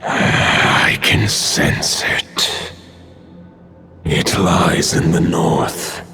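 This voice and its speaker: An actor playing an ancient, solemn wolf god in a video game, speaking gravely and formally in a gravelly, rumbling voice. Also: male voice, flat delivery, drawn-out words, over music, exaggerated voice.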